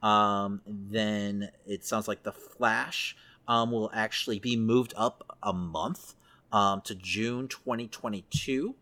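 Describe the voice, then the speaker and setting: A man's voice: lowish voice, steady tone, slow pace, sharp tone. A man co-hosting a podcast, chatting casually through entertainment news. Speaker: podcast co-host.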